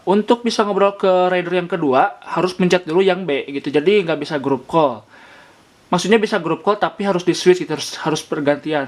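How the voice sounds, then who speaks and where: A man giving a vlog product review, explaining points and often rephrasing them. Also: on the high side. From Indonesia. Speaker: motovlogger reviewing gear.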